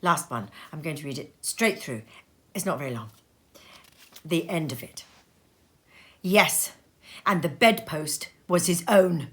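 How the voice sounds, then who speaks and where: A woman reading a classic story aloud at home in her sitting room, with unhurried, expressive storytelling. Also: sits low. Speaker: reader. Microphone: home recording.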